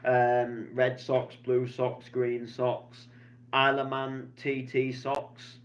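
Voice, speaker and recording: A man's voice: medium pitch, completely flat delivery, takes it slowly. A man, rambling casually through a weekend vlog with plenty of fillers and restarts. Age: 20s. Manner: chatty, meandering, many fillers and restarts. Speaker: DJ vlogger. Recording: slightly dull lo-fi sound.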